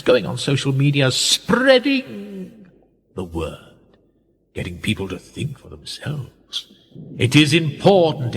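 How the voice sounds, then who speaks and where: An actor playing an older, patronising and manipulative establishment man in a radio play, speaking in measured rhetorical phrases. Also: on the high side, vocal fry, fairly bright tone, slightly rough, big-room sound, exaggerated voice. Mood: assured, patronising.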